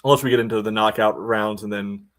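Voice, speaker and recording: Lower-pitched man in casual podcast talk. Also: steady tone, fast talker, a bit bright. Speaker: podcast co-host. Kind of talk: casual sports podcast chat.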